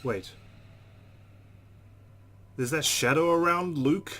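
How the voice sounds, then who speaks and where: A man's voice: on the high side, slow, fairly bright tone. A man hosting a let's play, narrating a visual novel aloud and adding his own asides.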